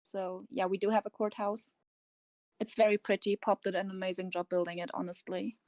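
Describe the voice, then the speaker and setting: A woman with a German accent, answering interview questions in a hesitant, tired and slightly nervous way, with many pauses and ums. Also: medium pitch, flat delivery, a little mellow, dry recording. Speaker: interview guest. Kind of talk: casual interview over voice chat.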